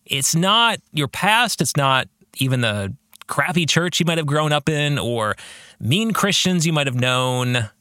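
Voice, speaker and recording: A man's voice: on the high side, lively intonation, unhurried, bright, forceful tone, no room sound, studio quality. A man hosting a podcast, giving reflective religious commentary on his book in an earnest, preacherly way with emphatic phrasing. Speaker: podcast host and author discussing his own novel.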